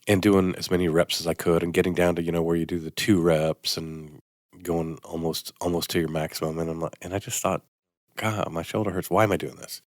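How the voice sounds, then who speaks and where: A man's voice: sits low, little pitch movement, a little fast, no room sound, studio quality. An older man, an orthopedic surgeon, hosting a Sunday morning radio health show, talking in a rambling, self-deprecating way with a slight sniffle. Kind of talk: casual radio health talk. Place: radio studio.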